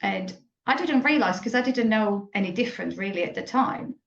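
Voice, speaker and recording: Woman in her forties, halting and reflective, roomy echoey muffled audio. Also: mid-range voice, expressive, creaky. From Slovakia. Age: 40s. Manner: reflective, halting, frequent self-corrections. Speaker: guest. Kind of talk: personal story in an interview.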